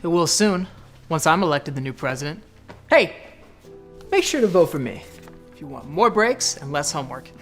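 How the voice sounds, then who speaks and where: Actor as cocky high school bully boy, lively swinging intonation, mocking, scripted drama, faint music behind. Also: normal speed, long pauses, natural voice. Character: smug, taunting male high school bully. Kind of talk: scripted moral drama acting.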